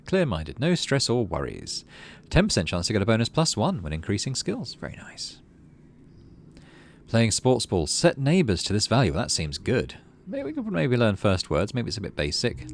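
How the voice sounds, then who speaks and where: A man narrates a video game story for his channel in a lowish voice. His intonation is hugely varied, moving between expressive storytelling and offhand comments, with faint noise in the background. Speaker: gaming channel host. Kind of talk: game playthrough, narrating story text aloud.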